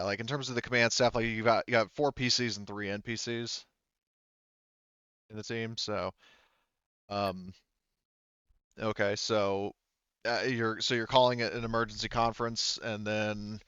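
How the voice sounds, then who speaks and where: Low, smooth-voiced man running a game. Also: a bit bright, no echo, highs cut off. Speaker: game master. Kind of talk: casual tabletop roleplaying game session.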